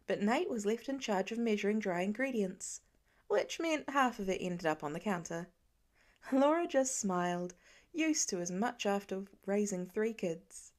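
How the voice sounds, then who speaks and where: A woman's voice: mid-range voice, animated, unhurried. A woman reading fiction aloud as a scripted narration, in the style of an amateur audiobook.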